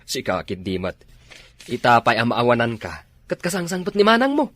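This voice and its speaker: An actor with an Ilocano accent playing a cajoling village drinking friend in a comedy drama. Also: male voice, medium pitch, wildly swooping pitch, normal speed, fairly bright tone.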